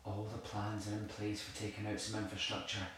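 Audio play: male actor as zealous, conspiratorial activist, low grave voice, distant in a very echoey room. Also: monotone, brisk, background noise, natural voice. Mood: intense, urgent. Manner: grave, insistent, persuasive. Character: intense, forceful eco-militant man, zealous and conspiratorial. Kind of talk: naturalistic audio drama dialogue.

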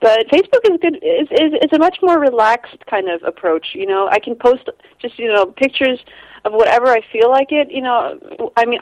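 A woman's voice: fairly high pitch, darkish tone, dry recording, highs cut off. A young Icelandic woman with an American accent, a photographer guest chatting in an interview, rambling with lots of restarts and easy laughs. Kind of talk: casual interview conversation.

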